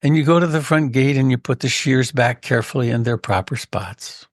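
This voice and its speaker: A man leading a guided meditation in a gentle, unhurried way with long pauses and a creaky voice. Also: medium pitch, no room sound, clean studio sound. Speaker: meditation teacher.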